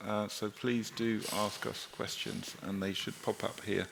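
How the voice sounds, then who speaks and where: A man with a lowish voice hosts a conference panel from a stage. He speaks politely and invitingly, pausing often with fillers as he introduces guests and takes questions. A faint hum of the hall sits under his voice. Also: level tone, vocal fry. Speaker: panel moderator.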